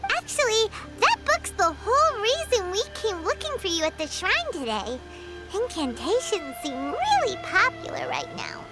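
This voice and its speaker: A tiny floating fairy companion with a very high, squeaky voice that leaps wildly up and down. She is eager and blunt, full of questions, and loud game music plays behind her. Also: huge pitch swings, moderate pace, exaggerated voice, low-quality recording. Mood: curious. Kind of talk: video game voice acting.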